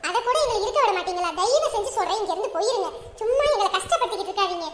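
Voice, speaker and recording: A woman voicing a fierce mother who scolds and shouts to defend her son. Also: very high-pitched, animated, rapid-fire, roomy sound, a little hiss or hum. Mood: scolding.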